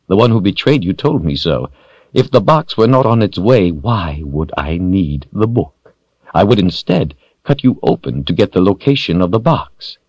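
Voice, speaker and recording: A man voicing a smug, villainous sorcerer in an audiobook, taunting and deliberate, with theatrical mock courtesy. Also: deep voice, darkish tone, breathy edge, no room sound, lo-fi sound, exaggerated voice. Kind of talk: audiobook character voice.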